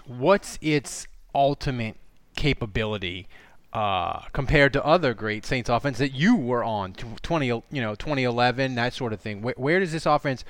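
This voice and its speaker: Guy hosting a sports show, casual questions, average pitch, no room sound. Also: fairly bright tone, no room sound. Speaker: podcast host asking questions.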